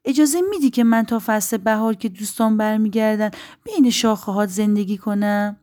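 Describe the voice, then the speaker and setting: A woman's voice: mid-range voice, mellow, pitched above the performer's own voice, natural voice. A woman voicing a small injured bird in a children's story, polite, pleading and sad.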